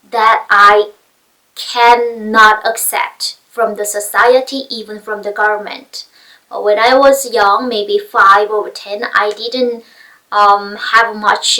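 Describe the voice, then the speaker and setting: A woman from North Korea with a Korean accent, giving an unscripted personal talk in halting non-native English, searching for words. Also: medium pitch, sharp tone. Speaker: speaker telling their personal story. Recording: voice picked up far from mic.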